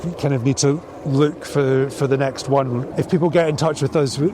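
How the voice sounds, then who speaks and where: A Scottish man with a mid-range voice talks about his work on a farm in an easy, reflective way, sometimes pausing to find his words. He is recorded outdoors, and faint traffic and ambient noise sit underneath his voice. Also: mid-range voice, steady tone. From Scotland. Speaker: farm grower. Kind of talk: casual interview walking outdoors.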